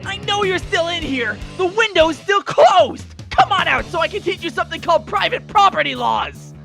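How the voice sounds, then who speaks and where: A woman's voice: extremely high pitch, expressive, moderate pace, creaky, fairly bright tone, faint music behind, heavily acted. A woman voicing a brash, boastful young male pegasus pony in a fan-fiction audio story, with casual, slangy speech. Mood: angry, challenging.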